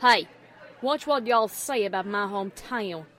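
A woman voicing an excitable, good-hearted young farm pony mare with a folksy, earnest manner. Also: high-pitched, moderate pace, a faint crowd. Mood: indignant.

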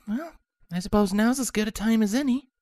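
A woman playing a gentle, loving pony wife in an audio story, tender and emotional. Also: fairly high pitch, brisk, no echo, lightly acted. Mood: tender, nervous. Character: gentle, loving adult female pony, newly pregnant wife. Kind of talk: audio story character acting.

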